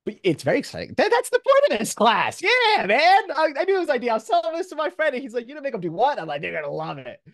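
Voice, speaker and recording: A man's voice: very high voice, huge pitch swings, fast talker, few pauses, fairly bright tone, no room sound, studio quality. A man speaking as a college professor, giving an informal, encouraging lecture to his class in casual, slangy language.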